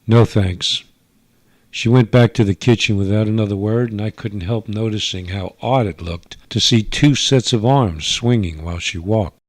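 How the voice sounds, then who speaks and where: A man's voice: fairly low pitch, normal speed, few pauses, dry recording. Man narrating a dry-sounding radio drama. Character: Mister Taylor, government investigator narrating his report. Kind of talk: first-person radio drama narration, old-time style.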